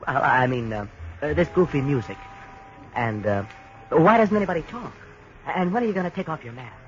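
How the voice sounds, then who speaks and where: A man's voice: on the high side, animated, brisk, close to an everyday voice. An actor playing a cocky young tourist in a 1940s radio drama. He wisecracks in slangy, brash talk. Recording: lo-fi 1940s radio broadcast with faint hiss.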